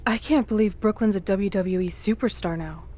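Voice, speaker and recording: A woman's voice: medium pitch, moderate pace, warm tone, natural voice. A woman playing an exasperated, sarcastic female wrestler in a video game. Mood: disbelieving. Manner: wry, exasperated.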